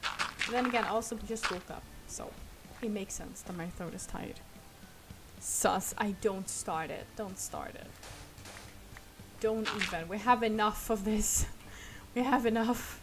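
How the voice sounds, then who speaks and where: A young woman with a Swedish accent, a native Swedish speaker, chatting casually and rambling softly while she plays a game on stream. She speaks with a sore throat. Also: medium pitch, measured pace. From Sweden. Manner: rambling, soft, laughs often. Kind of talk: casual chat while gaming. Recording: loud noise behind the voice.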